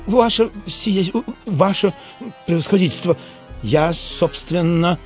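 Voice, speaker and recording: A man's voice: a little high, sing-song, moderate pace, a little mellow, faint music behind. A man voicing a timid, earnest young police clerk, nervous and deferential. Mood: flustered.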